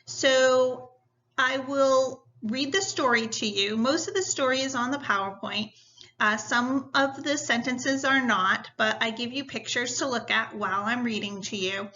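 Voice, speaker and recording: Bright-voiced woman reading slowly aloud. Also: a little high. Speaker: teacher reading a story to students. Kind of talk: read-aloud storytelling with lesson instructions. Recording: dull, lo-fi sound.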